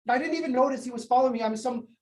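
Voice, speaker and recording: A man's voice: extremely high pitch, very fast, darkish tone. An actor playing a shaken young man who stammers as he retells a violent fight, in a stage play. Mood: bitter, guilty. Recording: roomy, distant from mic.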